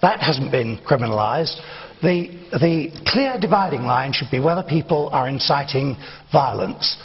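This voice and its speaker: A man's voice: medium pitch, animated, moderate pace. A man speaking as a party spokesman in a televised studio debate, firm and insistent. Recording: dull TV audio in a reverberant studio.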